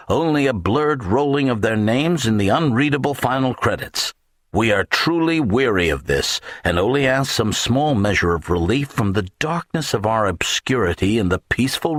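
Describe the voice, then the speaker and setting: A pompous union president, put on by a male audiobook narrator, delivers a formal grievance like an orator. The voice is husky and the sound dry and close. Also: fairly low pitch, lively intonation, normal speed, no room sound. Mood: aggrieved.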